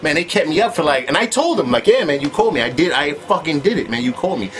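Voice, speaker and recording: A man's voice: on the high side, very animated, quick pace. A man telling his own story in casual, profane street slang, with "man" tossed in constantly. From United States.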